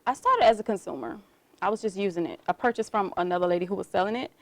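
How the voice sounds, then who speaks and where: A woman from Miami, a guest esthetician, talking in a relaxed, chatty way with many fillers. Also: mid-range voice, quick pace, no room sound. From Miami, South Florida. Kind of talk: casual interview chat.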